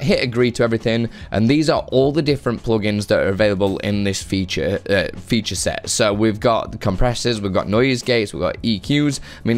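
A man in his thirties hosting a casual, chatty software tutorial at a close desk microphone indoors. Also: mid-range voice. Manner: chatty, informal. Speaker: tutorial host. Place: home room with guitars, indoors. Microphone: close desk microphone on boom arm.

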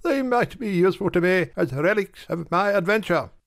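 A man playing a pompous, wordy, courteous gentleman in a dramatised audiobook. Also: sits high, animated, normal speed, creaky, no room sound, clean studio sound. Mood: jovial, pompous. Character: pompous, grateful middle-aged gentleman, Mr Baker.

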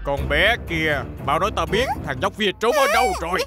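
A man's voice: on the high side, huge pitch swings, normal speed. A man voicing a smug villainous hat-selling showman in a cartoon dub, boastful and theatrical. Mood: menacing. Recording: music behind the voice.

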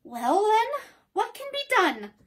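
A woman voicing a gentle, tender-hearted tin man in a storybook reading, speaking earnestly. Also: very high-pitched, big pitch swings, slow, voice pushed up, exaggerated voice.

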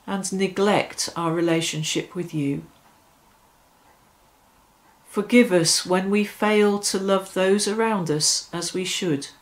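Slow-paced middle-aged woman leading prayer. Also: lowish voice, poor audio. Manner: measured, reverent, with deliberate phrasing. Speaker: church worship leader. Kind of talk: devotional reflection and led prayer. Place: small furnished room indoors.

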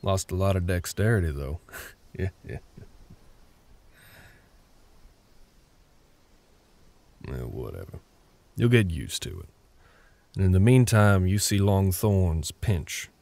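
A man voicing a gruff, terse older cowboy with a Southern US drawl and a gravelly voice in a roleplay audio drama. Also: low-pitched, sing-song, measured pace, a little mellow, exaggerated voice. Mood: softening, gruff. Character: gruff older cowboy ranch hand, grumpy, curt, grudgingly helpful.

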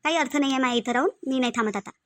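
Woman playing a high-pitched, rapid-fire, aggrieved daughter-in-law, Varhadi accent. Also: a bit bright. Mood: angry, aggrieved. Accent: Varhadi Marathi. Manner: complaining, insistent, repeats herself. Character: aggrieved, stubborn young daughter-in-law in a family quarrel. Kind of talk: cartoon family drama.

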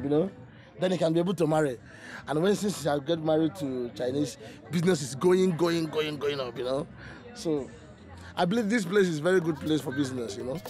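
A man with a slightly high voice talks eagerly in non-native English. He repeats words for emphasis. Loud music sits behind him. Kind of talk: casual interview. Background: loud background music.

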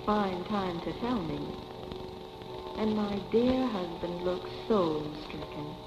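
An actress playing a poised, wry young wife in 1940s film style, cool and arch in manner. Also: female voice, on the low side, slow pace, background noise. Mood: wry, musing. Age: young adult. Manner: cool, arch, composed irony. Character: wry, poised young wife attending her own funeral. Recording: dull old film soundtrack with music.

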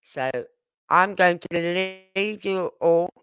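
A British woman chats casually as a livestream host, drifting informally from point to point. Her pace is slow and her voice is clean and smooth. The sound is dry, with little room around it. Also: on the low side, fairly even intonation, fairly bright tone, no echo. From United Kingdom. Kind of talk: casual true-crime livestream chat.

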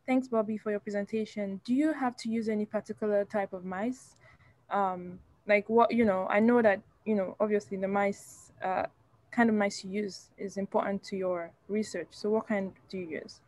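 A woman's voice: mid-range voice, fairly even intonation, normal speed, darkish tone, dry recording. Woman asking a hesitant question, dry sound. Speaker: audience questioner.

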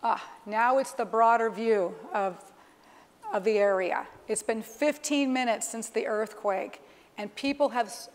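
A middle-aged woman giving an explanatory live demonstration from a conference stage. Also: a little high. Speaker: corporate executive presenting a keynote demonstration. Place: large conference stage, indoors. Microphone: clip-on lapel microphone. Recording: reverberant hall sound through PA.

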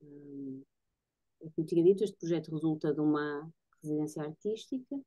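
A woman's voice: low voice, expressive, normal speed, vocal fry, dark tone. A woman in her thirties with a European Portuguese accent, thoughtfully explaining her work in conversation, searching for her words. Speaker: guest photographer and artist. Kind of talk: casual explanatory conversation about own work. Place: home bedroom-living room, indoors. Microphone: computer mic on a video call. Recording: dull, lo-fi video-call audio.

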